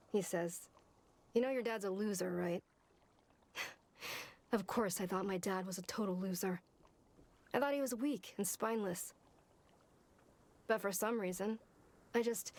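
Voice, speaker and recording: Brooding young fighter, video game monologue, reflective, plainspoken, halting, medium pitch. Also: female voice. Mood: guarded, reflective. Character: brooding woman fighter recalling her troubled youth.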